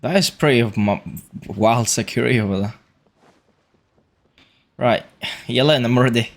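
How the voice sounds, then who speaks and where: A man livestreaming a video game, casually thinking out loud in short muttered remarks. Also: mid-range voice, moderate pace. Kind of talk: casual gameplay commentary.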